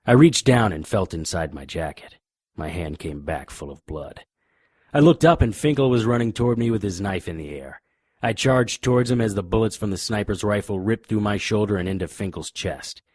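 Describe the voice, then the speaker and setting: Low-voiced hard-boiled private eye played by a man. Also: animated, normal speed, a little mellow, no room sound, clean studio sound. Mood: grim narration. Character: tough, defiant, wry male private investigator. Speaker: lead actor and narrator. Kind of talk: noir radio drama, first-person detective narration.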